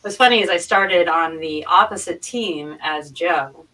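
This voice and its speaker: A woman chatting casually as a live-stream guest, explaining things in a halting way with repeated and restarted phrases. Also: lowish voice, pushed and bright, recorded from a distance, poor audio. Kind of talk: casual chat, explaining and recounting.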